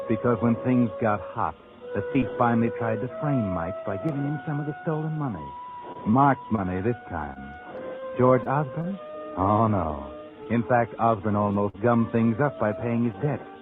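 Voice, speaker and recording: An actor playing a sardonic, knowing narrator of suspense tales in 1940s radio style, confiding and teasing dramatically. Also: male voice, fairly low pitch, lightly acted. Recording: muffled vintage radio recording over loud music.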